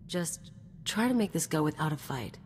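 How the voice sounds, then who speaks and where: A woman's voice: sits low, animated, moderate pace, a little hiss or hum. An actress playing a cynical, tough, self-serving mercenary woman in a video game, with a blunt, wry, streetwise delivery. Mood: guarded, businesslike.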